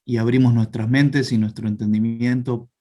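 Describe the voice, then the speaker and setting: A man with a Rioplatense Spanish accent saying a spoken prayer, slow and searching, repeating words. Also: mid-range voice, flat delivery, warm tone.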